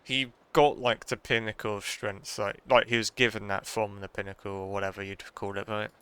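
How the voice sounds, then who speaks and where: A man's voice: fairly low pitch, little pitch movement, fairly quick, a bit bright, clear, resonant tone. A young man giving casual, offhand commentary as he streams a game.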